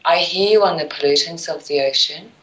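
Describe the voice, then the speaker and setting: A woman's voice: deep voice, a little fast, fairly bright tone, rough recording. A woman speaking as a guest in a casual radio chat. She makes her points emphatically, then trails off into near whispers. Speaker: show guest.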